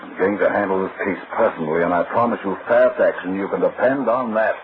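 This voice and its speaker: A man's voice: low-pitched, fast talker, natural voice. An actor playing a brusque, commanding lawman in an old-time radio detective drama, with a formal, dry, authoritative way of talking. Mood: formal, firm. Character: brusque, commanding male lawman investigating a robbery. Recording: noisy, tinny old radio recording.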